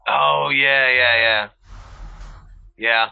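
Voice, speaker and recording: A man with a mid-pitched voice chats casually and loosely. He trails off, restarts and hunts for his words. The recording sounds dull and lo-fi. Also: steady tone, creaky, smooth. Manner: halting, searching for words, many fillers and restarts. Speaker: podcast co-host. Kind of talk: casual chat.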